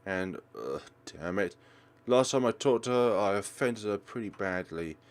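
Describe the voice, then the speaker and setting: A man's voice: lowish voice, expressive, a little slow. A man reading a visual novel aloud with dramatic delivery, adding his own commentary as a let's player.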